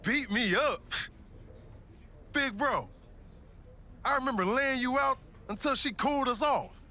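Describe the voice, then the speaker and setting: A man's voice: extremely high pitch, a little slow, bright, forceful tone, exaggerated voice. A man playing an earnest American wrestler pleading with his brother in slangy video game dialogue. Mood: playful defiance.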